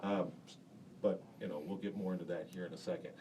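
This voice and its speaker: A deep-voiced man teaching a class in a school radio studio, explaining casually with plenty of fillers. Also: fairly even intonation, fast talker, dark tone. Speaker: broadcasting instructor. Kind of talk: classroom demonstration. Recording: distant mic with faint room hiss.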